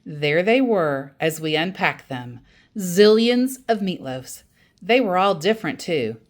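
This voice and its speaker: A woman reads a children's book aloud with a very expressive, swooping delivery. Her voice sits a little low, and she takes her time, shaping each line like a storyteller. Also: unhurried. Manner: acts out quoted dialogue expressively. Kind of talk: animated read-aloud storytelling.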